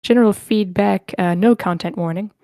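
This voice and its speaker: A woman's voice: medium pitch, normal speed, warm tone, no echo, clean studio sound. A woman casually hosting an online group read, polite and informal, often thinking aloud as she organizes things. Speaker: host of online table read. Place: online voice meeting.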